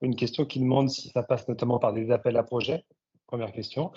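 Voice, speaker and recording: A man's voice: mid-range voice, fairly even intonation, fairly quick, mellow, husky. A man moderating a webinar and relaying questions in a conversational way. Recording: dull, lo-fi online call audio.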